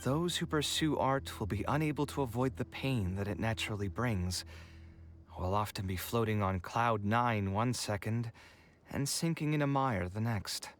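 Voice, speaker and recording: Man acting earnest, low-voiced young art lover. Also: moderate pace, faint music behind, lightly acted. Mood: musing. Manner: articulate, contemplative. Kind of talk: scripted video game dialogue.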